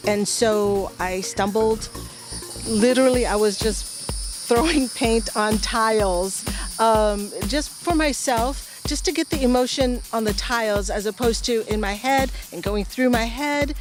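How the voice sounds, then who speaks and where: A middle-aged woman born in the Philippines, answering interview questions in a hesitant, soft-spoken way, often pausing and restarting. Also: on the high side, music in the background. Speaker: guest, veteran and art-program founder.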